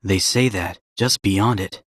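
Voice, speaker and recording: An actor playing a folksy, superstitious village storyteller in a video game. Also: male voice, low voice, a little slow, fairly bright tone, dry recording, studio quality. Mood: intrigued, ominous. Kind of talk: video game character dialogue.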